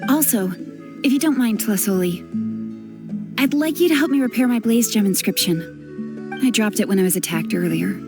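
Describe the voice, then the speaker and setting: Actress as young female game villager: upbeat, teasing, fairly high voice, very animated melody. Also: normal speed, pauses often, music in the background, natural voice. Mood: casual. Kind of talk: English video game voice acting.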